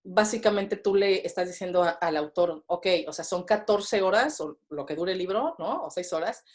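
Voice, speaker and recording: A woman's voice: on the low side, expressive, normal speed. A Mexican woman in her thirties chatting as a podcast guest, with lots of fillers and tag questions. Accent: Mexican Spanish. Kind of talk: casual interview conversation.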